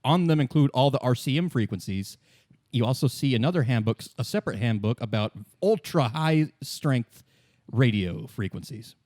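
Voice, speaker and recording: A man narrating a tabletop roleplaying game as game master in a casual, halting, conversational way with dry asides. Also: medium pitch, expressive, darkish tone, no echo.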